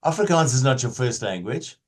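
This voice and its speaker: A man hosts an interview in an informal way. His pitch is average for a man. He puts his questions casually and conversationally. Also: expressive, a little fast. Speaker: interviewer, host.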